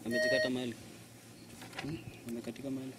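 A man's voice: mid-range voice, level tone, racing. A man, a native Swahili speaker, chatting casually while working outdoors. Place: outdoors in open dry grassland. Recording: distant, very noisy outdoor field recording.

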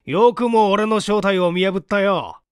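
A man voicing a sneering, menacing villain who taunts roughly in a dramatic story reading. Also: high-pitched, unhurried, no room sound, clean studio sound, pitched above the performer's own voice. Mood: mocking, gloating. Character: sneering, menacing male villain in disguise as a professor.

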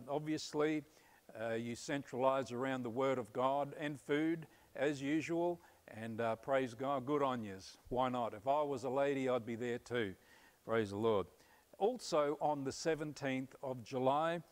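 Australian man, 50s, pastor, earnest upbeat announcements, frequent uh fillers, lapel mic, mid pitch. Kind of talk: church announcement video. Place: church stage before a curtain.

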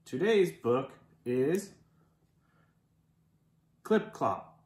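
A children's storytime reader with a slow, measured delivery and a medium-pitched voice. The picture book is read expressively, with the dialogue acted out. The room adds a noticeable echo. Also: lively intonation, a little mellow. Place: living room. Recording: roomy indoor echo.

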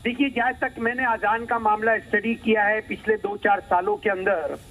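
A man's voice: high voice, fairly even intonation, moderate pace, a bit bright, poor audio. A man giving reasoned, explanatory commentary as a news debate guest, mixing in English words. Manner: reasoned, code-switches into English.

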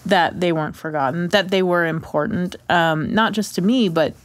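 A woman with a somewhat low voice talks reflectively in a casual discussion. Her manner is earnest, and the recording is dry with no room sound. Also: normal speed, no echo. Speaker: radio show panelist. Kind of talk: casual chat.

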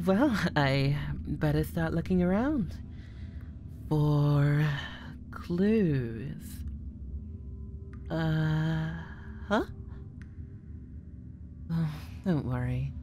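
A woman's voice: low voice, lively intonation, drawn-out words, long pauses, warm tone, slight background noise, full character voice. Woman playing a teasing, bluffing girlfriend. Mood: playful.

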